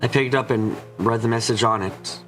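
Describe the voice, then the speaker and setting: A man's voice: mid-range voice, fairly quick, a bit bright, natural voice. Earnest young man acted by a man over music. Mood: tender, sorrowful. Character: earnest, remorseful young man narrating his own story. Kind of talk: dramatic first-person visual novel narration.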